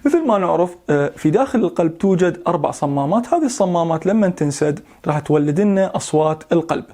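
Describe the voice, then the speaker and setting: A man's voice: a little high, expressive, fast talker, mellow. A young man giving a medical lesson, mixing in English terms and imitating heart sounds aloud. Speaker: medical lecturer.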